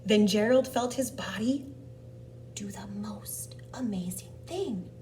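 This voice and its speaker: A woman hosting an interactive read-aloud for young children, narrating a storybook in an expressive, deliberate way. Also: medium pitch. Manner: expressive, deliberate, pauses to ask questions. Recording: distant mic, background noise.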